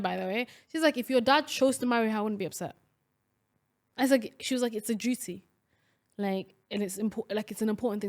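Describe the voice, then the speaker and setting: Chatty young woman with many fillers. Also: fairly high pitch. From Somalia. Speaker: podcast co-host. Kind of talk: casual podcast chat.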